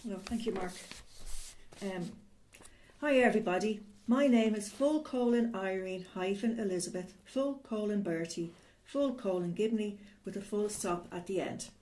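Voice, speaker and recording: A woman's voice: medium pitch, moderate pace, darkish tone. A woman from Ireland with an Irish accent, reading a formal, declarative statement as a guest. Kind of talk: prepared statement.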